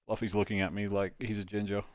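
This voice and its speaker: A man's voice: on the low side, level tone, fast talker, no echo. A man hosting a live stream, chatting casually and joking with friends. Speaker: host of a live dubbing stream.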